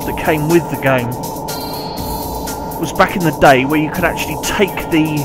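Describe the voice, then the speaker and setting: A man with a somewhat higher voice talks through a video game informally. He thinks aloud as he plays, while loud game music runs behind him. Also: normal speed. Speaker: gameplay commentator. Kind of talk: casual game commentary.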